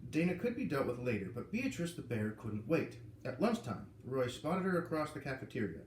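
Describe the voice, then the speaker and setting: A man reads a children's novel aloud, his pitch rising and falling freely as he lifts his voice for each character. He sits in an echoey room with a faint hum of ambient noise behind him. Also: very animated, fairly quick, recorded from a distance. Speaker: reader.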